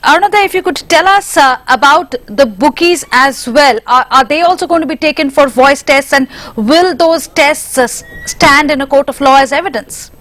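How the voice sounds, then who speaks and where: A woman's voice: high-pitched, moderate pace, hardly any pauses, a bit bright. A woman with an Indian English accent anchoring live news and putting questions to reporters.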